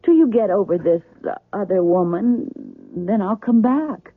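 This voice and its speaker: Earnest young wife, thin radio sound. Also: female voice, mid-range voice, animated, unhurried, phone-quality sound. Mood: probing, hurt. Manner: direct, probing, hesitant. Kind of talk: old-time radio drama acting. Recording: thin, band-limited old radio recording.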